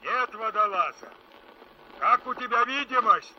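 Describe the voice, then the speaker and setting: A muffled, very noisy radio transmission with a terse boatman. His voice is high and he speaks slowly in short calls. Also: lively intonation. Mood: businesslike. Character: terse river boat crewman reporting over communication line. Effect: muffled radio link. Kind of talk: Soviet radio play acting.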